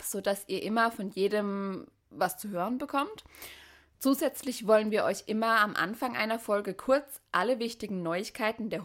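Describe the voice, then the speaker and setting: A woman in her twenties co-hosting a student podcast and reading her part clearly from a script. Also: mid-range voice, a bit bright. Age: 20s. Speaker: podcast co-host.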